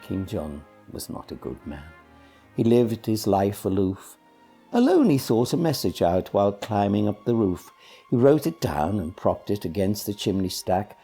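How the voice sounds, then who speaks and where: A man with a low voice reads a children's poem aloud as an expressive storyteller. His tone is dark and husky, and he shapes the verse with measured drama over music. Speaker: poetry reader. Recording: music behind the voice.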